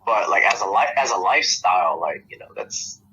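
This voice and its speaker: Husky young American man, lo-fi call. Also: on the low side, expressive, brisk, pushed and bright. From United States. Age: young adult. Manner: rambling, many fillers and restarts. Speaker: guest. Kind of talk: casual podcast interview.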